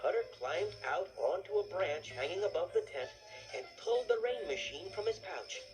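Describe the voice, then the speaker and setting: A woman reading a scripted children's story as narrator, in an expressive storytelling manner. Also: medium pitch, moderate pace, over music, low-quality recording.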